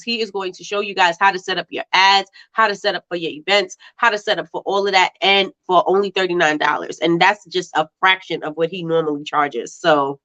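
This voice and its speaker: A woman's voice: fairly low pitch, a bit bright. A woman with a stuffy, congested voice hosting a casual livestream, chatting with viewers, laughing often and repeating herself. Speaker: livestream host. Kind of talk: casual live-stream chat reading viewer comments. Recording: slightly dull lo-fi livestream audio.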